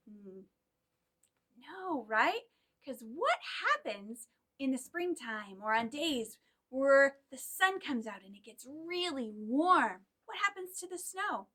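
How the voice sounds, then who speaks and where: A woman's voice: high-pitched, bright, forceful tone. A woman hosting a storytime for young children, reading expressively and dramatically and putting questions to her listeners. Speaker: children's library storytime host. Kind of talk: animated picture-book reading and rhymes for young children.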